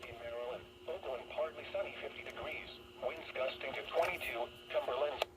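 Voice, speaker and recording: A man's voice: mid-range voice, brisk, heavy background noise. A synthetic-sounding man's voice reading a scripted weather bulletin in clipped phrases, heard through a small radio speaker.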